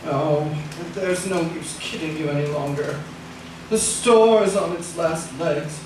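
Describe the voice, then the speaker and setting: An actor playing a weary, stubborn middle-aged husband in a 1940s radio drama, speaking in a terse, brooding way. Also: male voice, a little high, unhurried, lightly acted. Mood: weary, despairing. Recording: echoey, noisy old radio recording, distant.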